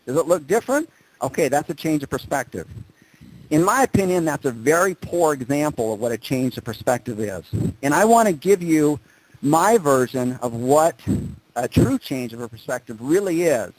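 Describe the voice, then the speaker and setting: A man speaking as a motivational presenter, telling personal stories and driving points home with emphatic repetition and dramatic pauses. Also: on the high side, sing-song, darkish tone, dry recording, rough recording. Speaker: motivational speaker presenting on a group conference call. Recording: conference call audio.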